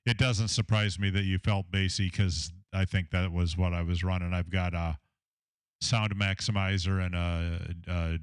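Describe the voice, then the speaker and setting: Deep-voiced Southern man on ham radio. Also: level tone, unhurried, clean tone, no room sound. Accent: American Southern. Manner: rambling, filler-heavy, technical. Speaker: ham radio operator, station owner. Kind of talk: casual radio chat. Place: home radio shack.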